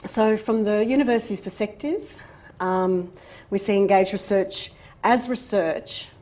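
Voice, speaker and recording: Woman in midlife, lecturer, measured delivery, filler-heavy. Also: mid-range voice, a little mellow. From Australia. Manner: measured, many ums and uhs. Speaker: guest speaker, professor. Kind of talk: university seminar lecture. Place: lecture room.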